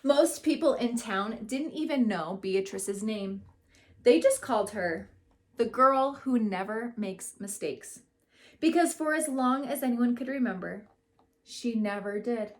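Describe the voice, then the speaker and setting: A woman teaching young children through read-aloud storytelling. Her voice sits fairly high and she takes her time, with an expressive delivery that brings out the characters. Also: unhurried. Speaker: school educator reading to children.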